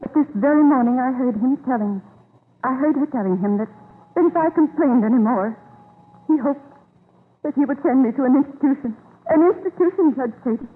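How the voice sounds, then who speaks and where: A genteel widow and mother, aggrieved and plaintive in a 1930s radio drama. Her voice sits fairly high and a little rough, with careful, hesitant manners. The sound is thin and tinny, like an old broadcast. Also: fairly quick. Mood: distressed, bitter.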